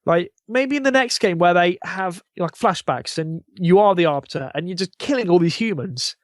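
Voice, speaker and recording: Male podcast guest, fairly high voice, eager, rambling, casual chat, dry sound. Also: expressive, normal speed, no room sound.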